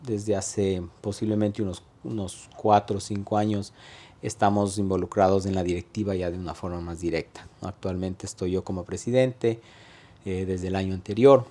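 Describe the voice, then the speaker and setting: A man in his thirties with an Ecuadorian accent and a fairly low voice. He talks through his story in a relaxed interview, with frequent fillers and halting restarts as he searches for the next words. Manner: hesitant, many "eh" fillers and restarts. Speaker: guest, restaurant owner. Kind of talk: conversational interview.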